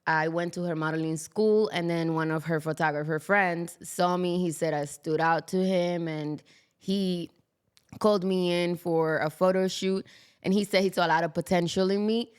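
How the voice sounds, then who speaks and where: A young woman from the Dominican Republic, a model chatting as a podcast guest, animated and laughing often, with many fillers. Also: lowish voice, normal speed, clean tone. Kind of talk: casual interview chat. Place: South Florida.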